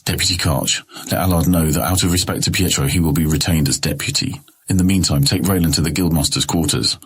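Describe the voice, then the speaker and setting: Smug, scheming older brother: very deep, husky, coldly sarcastic. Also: male voice, steady tone, fast. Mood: scornful. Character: scheming, condescending noble elder brother. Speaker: voice actor. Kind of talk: audio drama acting.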